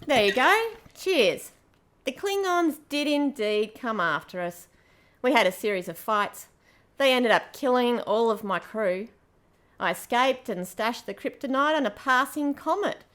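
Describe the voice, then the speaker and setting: Woman as space pirate queen, brash, casual, foul-mouthed, fairly high voice, amateur radio play. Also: lively intonation, measured pace, a bit bright. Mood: breezy, boastful. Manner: brassy, casual, swears freely. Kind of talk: amateur audio drama acting.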